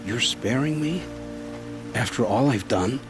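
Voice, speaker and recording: An actor playing a remorseful male traitor in a video game, talking in a slow, haunted, pleading way. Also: mid-range voice, animated, music in the background, close to an everyday voice.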